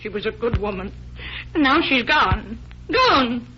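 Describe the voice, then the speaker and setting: On a muffled old radio recording, a forbidding female housekeeper with a high voice. She speaks with solemn, ominous insistence, fiercely loyal and grieving, in 1940s mystery style. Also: lively intonation, measured pace, a little hiss or hum. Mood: grieving, agitated.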